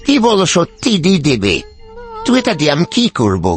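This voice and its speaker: A man's voice: fairly high pitch, huge pitch swings, a little fast, faint music behind. A man voicing a henpecked, lazy older fox husband in a cartoon, wheedling and full of exclamations. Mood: eager, amazed. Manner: wheedling, exclamatory, colloquial village talk. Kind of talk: cartoon story dialogue.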